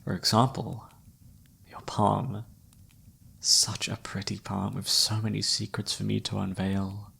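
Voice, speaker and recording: A man playing a flirty, theatrical travelling palm reader in a fantasy ASMR roleplay, teasing and dramatic with a breathy, husky voice. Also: lowish voice, completely flat delivery, unhurried, bright, forceful tone, full character voice. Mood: flirty, soft.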